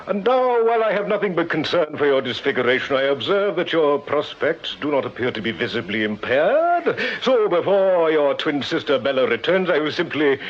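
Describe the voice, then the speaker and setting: A man's voice: on the high side, big pitch swings, moderate pace, few pauses. An actor playing a courtly, florid British showman of Victorian times in a radio drama, speaking in a flowery, mock-gallant way. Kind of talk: period radio drama acting. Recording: muffled radio recording, faint noise.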